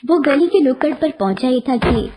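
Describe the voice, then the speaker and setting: A woman's voice: high voice, animated, normal speed, mellow. A woman storyteller giving scripted narration of a children's moral story.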